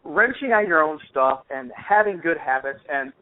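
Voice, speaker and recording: A man's voice: on the high side, lively intonation, normal speed. A man telling stories casually on a phone call in an informal, slangy, rambling way, as host of a hobby show. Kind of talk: casual phone chat, anecdotal storytelling. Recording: dull lo-fi phone-call audio.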